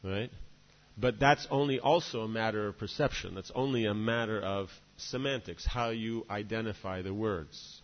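A man giving a devotional lecture, rambling along and checking in with "right?" and "you know" between his points. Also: lowish voice. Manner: discursive, many "you know" and "right?" asides. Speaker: spiritual teacher. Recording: dull reverberant hall recording.

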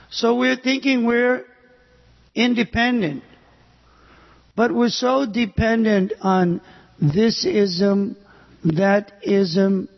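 An older man preaches slowly in a high, gravelly voice with a husky edge. He halts often and fills gaps with "uh". The recording is dull and carries a long hall echo. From United States. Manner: slow, halting delivery with pauses and fillers. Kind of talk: sermon on scripture.

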